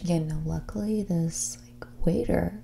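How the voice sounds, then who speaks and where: A woman playing a snobby, dismissive rich young woman in a whispered and soft-spoken ASMR roleplay, condescending and ditzy, saying "like" often. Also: fairly low pitch, a little slow, slight background noise. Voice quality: breathy whisper mixed with creaky soft speech. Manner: ditzy, condescending, hesitant delivery full of "like".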